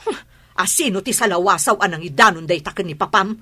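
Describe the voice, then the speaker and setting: A woman's voice: mid-range voice, animated, a little fast, pushed and bright. An actress playing a defensive middle-aged mother hooked on gambling, in a radio drama. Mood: indignant, evasive.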